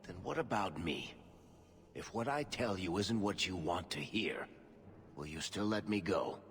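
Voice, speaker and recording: A wisecracking storyteller in a game cutscene, his voice fairly low but lively and sly. A trailing reverb and faint noise hang around it. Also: a little slow, slightly rough, exaggerated voice. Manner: sly, joking. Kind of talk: video game cutscene acting.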